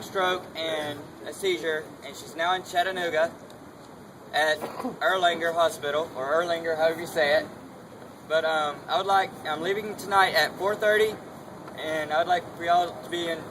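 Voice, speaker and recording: Earnest man speaking haltingly outdoors. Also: on the high side. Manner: halting, earnest, plain-spoken. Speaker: protester making a personal request. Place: outdoor protest gathering. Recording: noisy outdoor recording.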